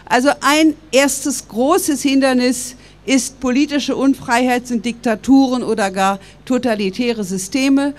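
An older woman from Berlin delivers a public speech outdoors into a stand microphone. Her voice sits a little high, and she builds her argument in a measured, earnest way. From West Berlin, Germany. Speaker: public speaker. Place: outdoors by stone columns.

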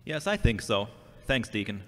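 A man's voice: on the low side, very animated, normal speed, fairly bright tone, slight background noise. A man playing an earnest, devout, searching younger brother who questions himself, in an amateur audio drama. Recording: reverberant hall-like sound.

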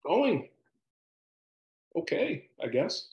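Male actor as husband in his forties, animated intonation, hesitant, playfully deflecting, table-read drama. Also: high-pitched, extremely slow, highs cut off, exaggerated voice. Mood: hesitant, defensive. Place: indoor room on a video call. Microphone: video call microphone.

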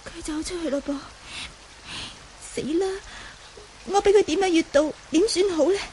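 An innocent young Buddhist nun with a Hong Kong Cantonese accent. Her voice is strikingly high, anxious and halting, played for old radio drama with faint noise in the recording. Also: dark tone, poor audio. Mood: frightened. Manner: hesitant, anxious, pleading.

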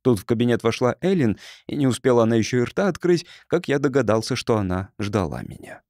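A man's voice: mid-range voice, very animated, fast talker, darkish tone, no room sound, studio quality. A man narrating an audiobook, reading a first-person story expressively.

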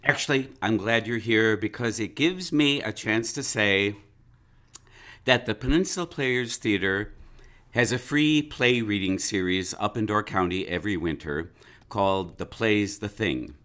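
Man, low voice, very slow and bright, theatrical director chatting backstage. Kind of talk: pre-show chat with theatrical flourishes. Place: small room at home.

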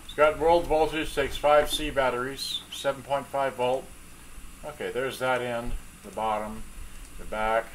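A middle-aged man giving a casual, halting, off-the-cuff how-to commentary as he works at a bench, picked up by a camera mic. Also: mid-range voice, steady tone. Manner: rambling, halting, talking as he works. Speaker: hobbyist repair video maker. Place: cluttered indoor workbench at home. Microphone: camera mic, off-screen speaker. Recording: clearly noisy home workshop recording.

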